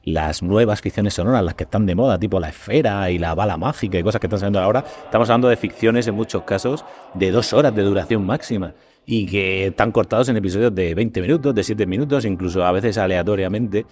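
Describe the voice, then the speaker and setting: A man with a Spain Spanish accent hosting a casual podcast discussion in an animated, colloquial, rambling way. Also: lowish voice, quick pace. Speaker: podcast host.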